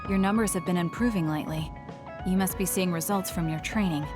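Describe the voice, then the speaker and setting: Anime game role: actress as composed, earnest young female soldier, matter-of-fact, slightly low pitch, music underneath. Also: brisk, lightly acted. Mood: calm, puzzled. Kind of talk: English anime game dub acting.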